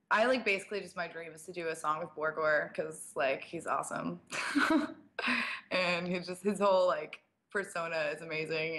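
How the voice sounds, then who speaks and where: A young woman with a slight rasp, answering fan questions in a loose, chatty way. She fills her talk with "like" and small laughs, and sits a touch lower in pitch than most women. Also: a bit bright. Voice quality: slightly raspy. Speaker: DJ and producer. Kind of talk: casual live-stream Q&A, reading viewer questions.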